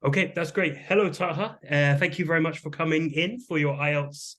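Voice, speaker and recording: A man's voice: a little high, steady tone, normal speed, a bit bright. A man, an English teacher acting as examiner, asking mock speaking test questions and giving short, encouraging praise.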